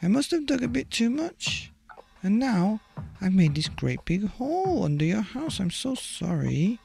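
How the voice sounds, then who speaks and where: A man's voice: high-pitched, sing-song, a little slow, vocal fry, a little mellow, faint music behind, pitched above the performer's own voice, heavily acted. A man voicing a small, sheepish, apologetic mole with a meek manner in a children's storybook.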